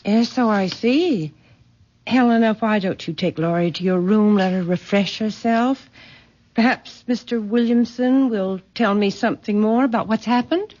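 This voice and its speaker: A woman playing a genteel, coolly controlling middle-aged mother in a vintage radio drama, formal and measured, with condescending endearments. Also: medium pitch, darkish tone, close to an everyday voice. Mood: gracious. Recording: dull vintage radio recording.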